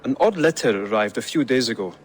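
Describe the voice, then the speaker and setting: A man's voice: mid-range voice, lively intonation, fairly quick, lightly acted. A man playing an earnest, polite young-hearted acolyte in a video game, speaking carefully and attentively.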